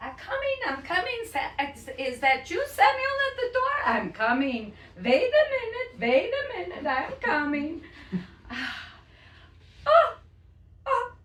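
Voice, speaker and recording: A woman's voice: very high-pitched, big pitch swings, unhurried, a bit bright, roomy sound, distant mic, pitched above the performer's own voice, heavily acted. A woman voicing a fussy, doting elderly grandmother who frets and repeats herself. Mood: frantic. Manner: anxious, exclaiming, repeats herself.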